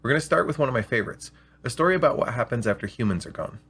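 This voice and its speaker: Husky-voiced male storyteller. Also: medium pitch, animated, racing, a bit bright. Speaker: storyteller and show host. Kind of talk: scripted story reading with spoken intro.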